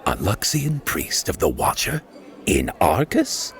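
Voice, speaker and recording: Menacing nobleman, creaky low register, swooping intonation, haughty, dark, audiobook drama. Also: wildly swooping pitch, slow pace, full character voice. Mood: curious, imperious. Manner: dark, low-voiced, imperious. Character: haughty, menacing lord, master of a revel. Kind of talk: audiobook character acting.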